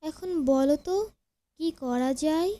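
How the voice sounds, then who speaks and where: A woman voicing a trusting female blue bird in a children's cartoon, with a gentle, naive manner. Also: high-pitched, a little slow. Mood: worried.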